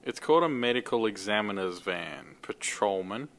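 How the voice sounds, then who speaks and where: A man playing a gruff, no-nonsense police lieutenant with a dry, curt manner in a fan-dub drama. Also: fairly low pitch, expressive, moderate pace, a bit bright. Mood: weary, stern.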